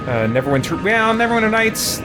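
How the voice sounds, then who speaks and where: Man, casual game streamer, rambling, thinking aloud, fairly low-key delivery over clear background game music. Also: sing-song, brisk. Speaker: streamer playing a role-playing game. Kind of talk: casual gameplay commentary. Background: video game music.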